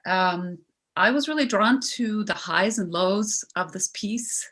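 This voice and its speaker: A woman's voice: medium pitch, takes it slowly, a bit bright. A woman from Norway speaking as a panelist in a discussion, thoughtfully putting a question to a guest. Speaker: panelist, musician.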